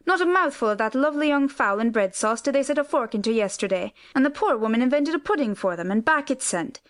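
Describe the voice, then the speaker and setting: A woman's voice: fairly high pitch, animated, fast, pitched above the performer's own voice, close to an everyday voice. A woman voicing an indignant, fussy middle-aged housekeeper who complains at length.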